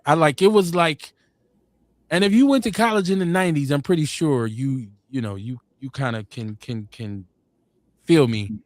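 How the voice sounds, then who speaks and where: A man's voice: mid-range voice, no room sound. Laid-back man telling stories, dry sound. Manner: laid-back, rambling, animated when quoting others. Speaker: podcast host. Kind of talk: casual storytelling chat.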